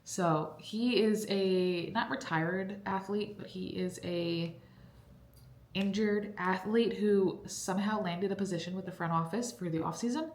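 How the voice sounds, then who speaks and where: A young woman talks casually to her viewers, rambling with plenty of fillers. Her voice sits a little low and sounds congested. The room around her is echoey. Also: a little mellow. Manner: chatty, rambling with many fillers. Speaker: book vlogger. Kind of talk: casual vlog chat. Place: cold basement room at home.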